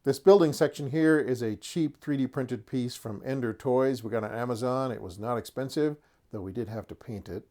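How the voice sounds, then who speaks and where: A man's voice: medium pitch, moderate pace, dark tone. Folksy middle-aged man hosting casually. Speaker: host of a tabletop wargaming channel. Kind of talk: casual product showcase to camera.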